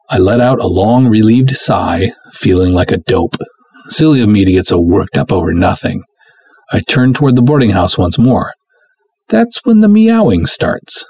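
A man's voice: on the low side, breathy edge. A man voicing a plucky, jittery young woman who recounts a spooky night in slangy, old-fashioned storytelling style. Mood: rattled, breathless suspense. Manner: animated, slangy, breezy storytelling. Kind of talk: dramatic first-person story reading with 1950s slang.

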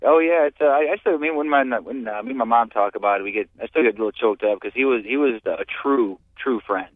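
A man's voice: mid-range voice, no echo. American man on a phone-like line, stammering. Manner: halting, stammering with repeated words. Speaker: radio call-in listener. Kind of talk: casual personal reminiscing. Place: on a phone call to a radio studio. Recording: muffled phone-like call-in line.

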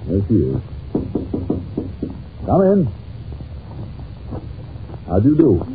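An actor playing an irritable, imperious English detective in an old-time radio drama, brusque and pompous, with a British accent, on a muffled, noisy recording. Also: male voice, medium pitch, huge pitch swings, takes it slowly. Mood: interested, courteous.

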